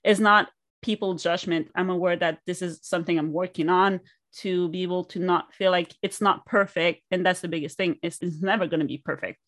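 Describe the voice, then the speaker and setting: A woman's voice: on the low side, fairly even intonation, brisk, a bit bright, dry recording. A woman with a French accent, a native French speaker, sharing her view earnestly as co-host. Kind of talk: conversational podcast interview.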